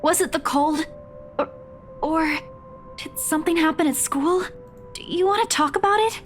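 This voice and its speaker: A woman's voice: very high voice, moderate pace, soft background music. A woman playing an anxious, caring teenage girl in a visual novel, speaking in an earnest, hesitant way. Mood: concerned. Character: anxious, caring teenage girl calling after her friend.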